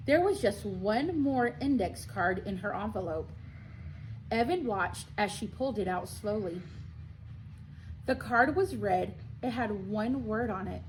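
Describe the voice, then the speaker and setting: A woman's voice: mid-range voice, expressive, a little slow, slight background noise, low-quality recording. A woman reading a children's story aloud expressively, like a school librarian at storytime.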